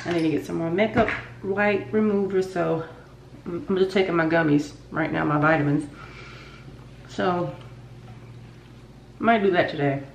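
A woman's voice: sits low, vocal fry, recorded from a distance, slight background noise. Stuffy-nosed woman chatting in echoey bathroom. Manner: chatty, rambling, talks straight to viewers. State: stuffy nose. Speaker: vlogger. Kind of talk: casual chat to camera. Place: home bathroom. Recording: roomy bathroom echo, voice slightly off-mic.

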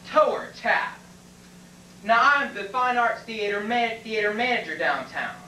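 A man's voice: sits high, expressive, measured pace, sharp tone, exaggerated voice. A man playing a nervous, evasive 48-year-old in an amateur stage comedy, stammering, hesitating and pleading. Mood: flustered, indignant. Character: flustered, evasive 48-year-old man dodging an army physical. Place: classroom used as a small stage. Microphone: far camera microphone. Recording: distant, echoey, faintly noisy VHS room recording.

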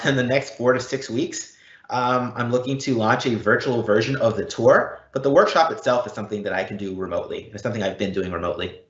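A man's voice: mid-range voice, fairly bright tone. A man presenter giving technical explanations and answering audience questions in an online session. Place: indoor room, live online event. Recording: dull, roomy online call audio.

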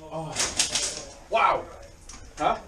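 A man playing airsoft, shouting callouts in bursts between quiet remarks. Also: on the high side, lively intonation, extremely slow, heavy background noise. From England. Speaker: airsoft player filming his game. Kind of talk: shouted callouts during play. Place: large empty indoor store building. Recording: echoey hall, distant, loud gunfire clicks.

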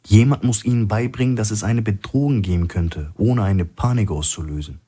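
A man's voice: fairly low pitch, normal speed, warm tone, breathy edge. A man playing an alert, level-headed male operative in a thriller audiobook, terse and matter-of-fact. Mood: alert, serious.

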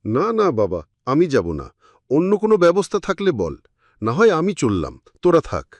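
Man acting an animated village youth. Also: on the high side, moderate pace, no echo. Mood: frightened. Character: village youths among friends, excitable and animated.

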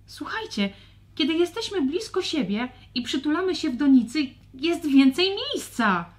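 A woman voicing an expressive young female dragon in a children's audio fairy tale. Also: high-pitched, normal speed, voice pushed up. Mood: earnest. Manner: expressive, exclaiming. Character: young female dragon, tearful then earnest peacemaker.

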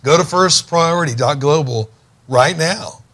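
Fervent middle-aged TV host. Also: male voice, on the high side, a little slow, a bit bright. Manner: fervent, preacher-like listing and exhortation. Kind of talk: TV talk show hosting. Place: TV studio set.

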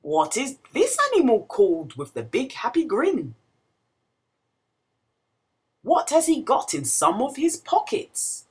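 A woman reads a children's story in a high, slightly husky voice. Her delivery is slow and hugely expressive, swooping up and down as she engages young listeners. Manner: expressive, exclamatory, asks the listener questions. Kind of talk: animated read-aloud storytelling for young children.

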